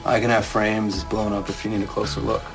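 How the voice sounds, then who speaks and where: A man's voice: fairly low pitch, level tone, fairly quick, vocal fry, rough recording. An actor playing a matter-of-fact male police investigator in an audio drama. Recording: prominent music underneath.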